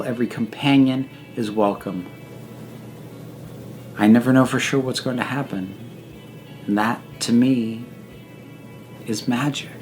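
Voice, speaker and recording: A speaker delivering a scripted personal reflection like a speech, with deliberate, emphatic phrasing and pauses. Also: mid-range voice. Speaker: video essayist reading own personal reflection.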